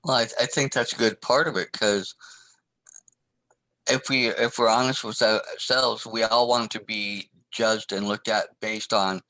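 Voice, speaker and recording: A man in a casual book discussion group, speaking quickly and earnestly. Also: fairly low pitch, fairly even intonation, pushed and bright, no echo, poor audio.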